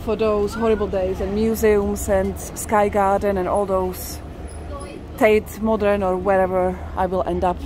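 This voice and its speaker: Female walking-tour vlogger, mid-pitched, casual and emphatic, recorded outdoors over noticeable city traffic. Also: level tone, a little slow. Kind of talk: casual live narration while walking. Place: busy city street outdoors. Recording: outdoor street noise with traffic. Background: urban ambience, passing vehicles.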